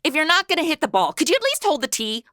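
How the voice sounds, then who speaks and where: A woman's voice: high voice, very animated, fast, a bit bright, no room sound, clean studio sound. An actress playing a brash, carefree young woman in a comedy audio drama, slangy and bossy. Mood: impatient.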